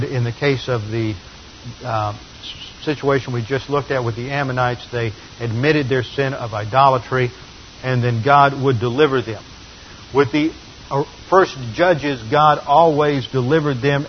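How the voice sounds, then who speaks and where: A man teaching a Bible passage verse by verse, explaining and correcting himself as he goes. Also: mid-range voice. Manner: explanatory, with frequent fillers and self-corrections. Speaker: pastor teaching a Bible study. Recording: slightly dull lo-fi recording with faint hiss.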